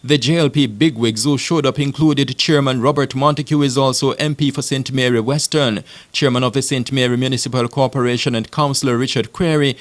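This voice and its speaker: A man reading a scripted news report in a measured, even way. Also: mid-range voice. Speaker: news narrator.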